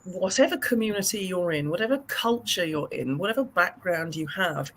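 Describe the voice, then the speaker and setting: A woman's voice: on the low side, a bit bright, a little hiss or hum, rough recording. A woman with an English accent talking on a video call panel, earnest and often restarting her sentences. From England. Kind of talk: casual panel chat.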